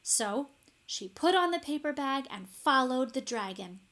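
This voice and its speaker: A woman narrating a children's story expressively, with deliberate dramatic emphasis. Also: high voice, expressive, fairly bright tone. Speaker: storybook reader.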